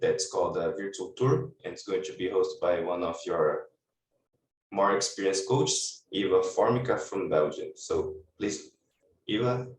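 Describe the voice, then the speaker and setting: A man with a somewhat low voice hosts an online session and introduces the speaker. His video-call audio sounds distant and roomy. He talks in a relaxed way with small hesitations. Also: a little slow, slight background noise. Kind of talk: casual hosting on a video call. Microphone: computer mic on a video call.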